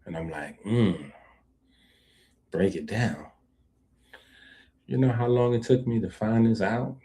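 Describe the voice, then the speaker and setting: Male host, low-pitched, casual riffing monologue with laughing asides. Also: takes it slowly. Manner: animated, laughing asides, conversational fillers.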